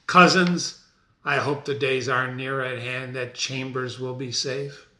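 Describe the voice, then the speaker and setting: A man voicing a resolute young prince commanding an army, in a measured, stately Shakespearean stage reading. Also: medium pitch, steady tone, pushed and bright, roomy sound. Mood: hopeful.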